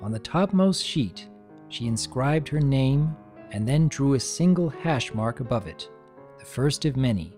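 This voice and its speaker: A narrator reading a story aloud in an expressive storytelling style. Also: medium pitch, unhurried. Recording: music behind the voice.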